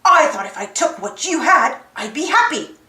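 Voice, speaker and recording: A woman voicing a lonely male cartoon villain boss in a slow, deliberate way. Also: high voice, sing-song, pushed and bright, reverberant, distant mic, pitched below the performer's own voice, full character voice. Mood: sorry.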